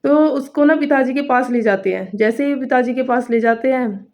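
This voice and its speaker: A woman's voice: sits high, moderate pace, a little mellow. A woman telling a story to viewers informally, repeating phrases and building suspense as a storytelling coach would. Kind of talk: spoken storytelling, explaining to viewers. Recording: dull lo-fi recording.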